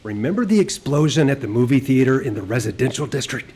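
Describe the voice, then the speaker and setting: A teasing game squad leader, kinda husky, lively. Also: male voice, medium pitch, quick pace. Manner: teasing, commanding. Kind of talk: video game cutscene voice acting.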